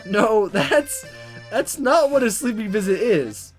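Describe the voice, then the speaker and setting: A gamer voicing a flustered, awkward high school boy from a visual novel, stammering and exasperated. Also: sing-song, takes it slowly. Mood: awkward, confused. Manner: stammering, exasperated inner monologue. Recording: music under the voice.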